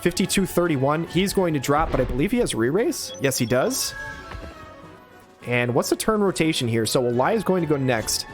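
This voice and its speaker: Guy casting a game on a headset, a bit hoarse from a cold, slightly high voice, excited play-by-play. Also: over music. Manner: excited, quick play-by-play with exclamations. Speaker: game caster. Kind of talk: play-by-play commentary.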